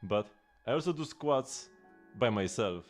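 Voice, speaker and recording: A man's voice: mid-range voice, expressive, unhurried, soft background music. Chatty man in his late twenties. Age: late 20s. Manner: rambling and chatty, with lots of restarts and laughs. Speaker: streamer. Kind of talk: casual gaming livestream chat.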